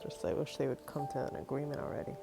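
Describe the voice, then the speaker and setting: A woman's voice: very deep voice, flat delivery, quick pace, gravelly. A woman giving casual, muttered commentary as she plays through a video game. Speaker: gameplay streamer. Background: game music.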